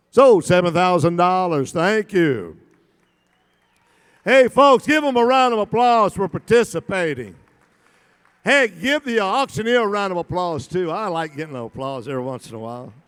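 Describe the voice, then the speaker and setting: A man working a live charity auction, rattling off bids in a rapid rhythmic chant and joking with the crowd. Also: high voice, animated, fairly bright tone, clear, resonant tone. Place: large banquet hall at a gala. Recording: long reverb in a big hall.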